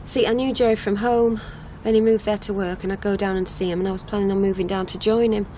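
A young woman played by an actress in a radio drama, riding as a hitch-hiker in a noisy vehicle. She is flustered and apologetic, with a halting, hesitant delivery. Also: medium pitch, fairly even intonation, warm tone, lightly acted. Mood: hesitant, frustrated. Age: young adult. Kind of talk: naturalistic radio drama acting. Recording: clear vehicle noise behind. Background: car interior ambience, engine noise.

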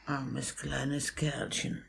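A woman's voice: rumbling low, flat delivery, drawn-out words, creaky, full character voice. An actress playing a motherly, caring housekeeper in a 1950s German radio play, speaking tenderly and soothingly.

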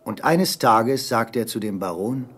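A man with a husky voice narrating a scripted German radio play. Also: mid-range voice, a little slow, a bit bright.

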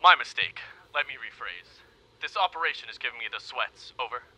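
A man's voice: mid-range voice, animated, fairly quick, bright, forceful tone, rough recording. An actor playing a nervous, bumbling undercover policeman with awkward, overeager delivery in a comedic radio play. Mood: nervous, resolved. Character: nervous, bumbling undercover policeman, clumsily faking gangster slang.

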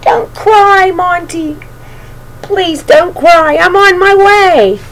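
An older woman voicing a grumpy young male bear cub in a storybook, with emphatic, drawn-out words. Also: very high voice, animated, fairly bright tone, voice pushed up, heavily acted. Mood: urgent, pleading. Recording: noisy home webcam recording.

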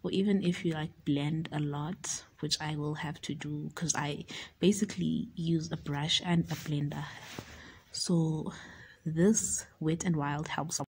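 A young South African woman talking through her makeup routine in a soft, often half-whispered, unhurried way, in a small room. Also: low voice, steady tone, low-quality recording. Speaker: beauty YouTuber. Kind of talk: casual makeup tutorial talk.

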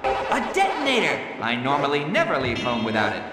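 A man's voice: mid-range voice, wildly swooping pitch, fairly quick. A man playing a plucky, good-natured young cartoon hero in a video game, earnest and chipper. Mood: confident. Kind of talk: video game cutscene acting. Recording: echoey reverb, loud music.

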